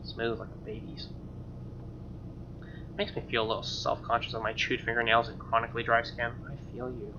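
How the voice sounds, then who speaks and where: A man's voice: mid-range voice, flat delivery, normal speed, pauses often, noisy background. Casual male gamer, slightly dull mic. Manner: informal, joking asides. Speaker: streamer playing and reading a game. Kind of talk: casual playthrough, reading narration aloud with ad-libs. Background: acoustic guitar game music.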